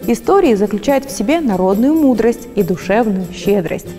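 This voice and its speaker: A woman narrating a fairy-tale stage show in an even storytelling manner. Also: a little high, moderate pace. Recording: long hall reverb, faint music.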